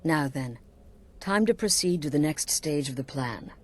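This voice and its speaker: An actress playing a cool, calculating female leader of an assassins' guild in a fantasy game, deliberate and conspiratorial. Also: sits low, animated. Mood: cool, businesslike. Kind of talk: scripted fantasy video game dialogue.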